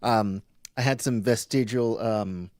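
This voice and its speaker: A man casually hosting a live open mic webcast, with a halting delivery that gets easily sidetracked. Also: lowish voice, a little mellow, no echo, clean studio sound.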